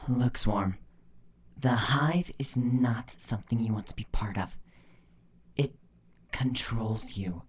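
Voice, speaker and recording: A man voicing a stern, cool changeling mother in a storybook reading, speaking in a firm, measured, commanding way. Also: mid-range voice, breathy edge. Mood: grave, cautioning.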